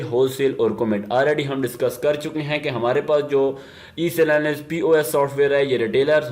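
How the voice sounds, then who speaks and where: A man gives an explanatory software demo, mixing in many English business terms. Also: medium pitch. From Pakistan. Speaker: software tutorial presenter. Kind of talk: instructional software demo narration.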